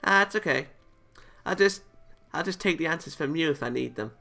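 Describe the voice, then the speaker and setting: A man's voice: fairly high pitch, animated, brisk, creaky, bright, forceful tone, soft background music, pitched above the performer's own voice, full character voice. A man voicing a cheerful, playful girl from an anime visual novel. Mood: cheerful, eager.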